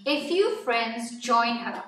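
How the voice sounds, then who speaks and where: A woman reading a nonfiction picture book aloud to children in an expressive, deliberate storytelling way, phrase by phrase. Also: fairly high pitch. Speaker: children's story-time reader. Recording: echoey room, distant mic, music underneath.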